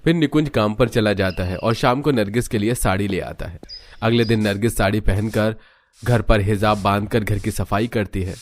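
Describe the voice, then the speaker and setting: A man's voice: lowish voice, normal speed, no echo. Male storyteller with a dry, close sound. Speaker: story narrator. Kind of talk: scripted storybook narration.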